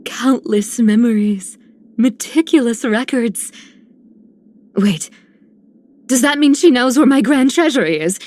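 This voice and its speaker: Lively, teasing thief girl. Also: fairly high pitch, a little slow, pauses often. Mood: teasing, playful. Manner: teasing, coy. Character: playful, greedy but generous young thief girl. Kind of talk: video game character voice lines.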